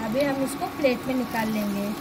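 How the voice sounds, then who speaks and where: A woman's voice: a little high, fairly quick. A woman with an Indian accent giving a step-by-step recipe voice-over as a home cooking host. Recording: clearly noisy home recording.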